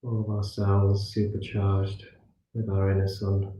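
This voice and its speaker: A man leading a guided meditation as a class teacher, speaking soothingly in short phrases with pauses between them. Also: sits low, monotone, mellow, a little hiss or hum. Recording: muffled echoey room, distant from mic.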